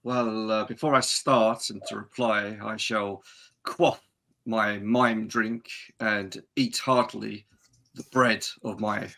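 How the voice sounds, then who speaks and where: A man playing an absurdly solemn mime adventurer, telling his tale in a deadpan, halting way in a tabletop roleplaying game. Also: fairly low pitch, gravelly, full character voice. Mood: mock-serious.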